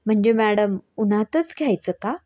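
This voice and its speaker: A woman's voice: medium pitch, moderate pace, warm tone, close to an everyday voice. A woman storyteller voicing a frustrated mother in her thirties who lists her complaints. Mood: doubtful. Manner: complaining, listing things off. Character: frustrated thirty-five-year-old mother complaining about her son.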